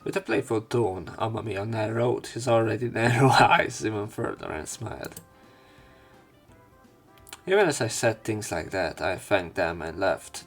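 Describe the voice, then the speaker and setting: A man reading a visual novel aloud as a let's player, mixing narration with casual, crude commentary. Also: on the low side. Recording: background game music. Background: game music.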